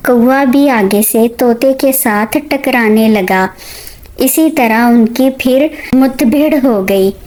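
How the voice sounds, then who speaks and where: High-voiced, slow-paced woman storyteller. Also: a little mellow, poor audio. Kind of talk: scripted children's story narration.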